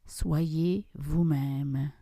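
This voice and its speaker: Very slow, mellow woman guiding meditation. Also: low voice, no echo, clean studio sound. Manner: calm, soft instructions with long pauses. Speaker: yoga nidra guide. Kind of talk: guided relaxation meditation.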